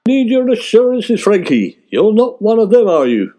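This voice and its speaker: A man's voice: sits high, very animated, moderate pace, warm tone, a little hiss or hum. A man playing a pompous, grumbling, aggrieved comedian in a radio sitcom pastiche. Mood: conspiratorial. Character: pompous, aggrieved male comedian plotting in pantomime.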